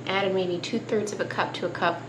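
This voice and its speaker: A woman's voice: medium pitch, steady tone, fairly quick. A young woman casually talking through a home cooking project in her kitchen, chatty and laughing easily. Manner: chatty, laughs easily, coughs at pepper fumes. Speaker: homestead cooking vlogger. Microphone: wide camera on the counter. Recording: echoey kitchen with background noise.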